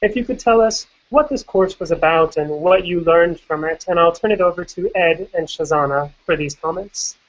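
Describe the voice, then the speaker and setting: Woman panel moderator, formal and organized, low voice, slightly dull sound. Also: normal speed, few pauses. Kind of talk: hosting a panel. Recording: slightly muffled recording.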